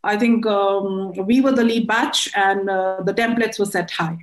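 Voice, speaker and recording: A woman's voice: mid-range voice, steady tone, unhurried, echoey room, low-quality recording. A middle-aged woman with an Indian accent giving formal, respectful answers as a guest in a conversational interview. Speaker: interview guest, air force air traffic control officer.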